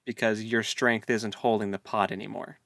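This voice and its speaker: A man's voice: on the low side, flat delivery, moderate pace, gravelly, fairly bright tone, no echo, studio quality. A man co-hosting a casual book club podcast, laid-back and chuckling, chiming in with short agreeing replies. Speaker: podcast co-host.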